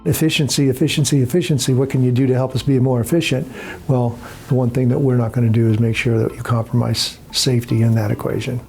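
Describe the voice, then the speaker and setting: A man's voice: medium pitch, level tone, fast, vocal fry, a little mellow, soft background music. A man speaking as a manager in an interview, explaining how his workplace runs. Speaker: air traffic control manager.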